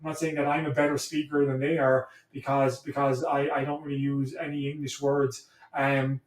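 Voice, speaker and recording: A man's voice: mid-range voice, flat delivery. Man, echoey and off-mic. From Ireland (Cork). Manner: thoughtful, halting, searching for words. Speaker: guest, history professor. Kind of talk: reflective conversational interview. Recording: echoey room, distant from mic.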